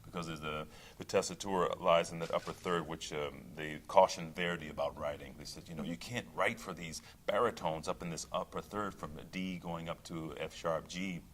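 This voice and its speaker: A middle-aged male opera singer talking in a relaxed interview, thoughtful, with many fillers and restarts. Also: low-pitched, normal speed, a little hiss or hum. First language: English. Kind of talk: casual interview talk.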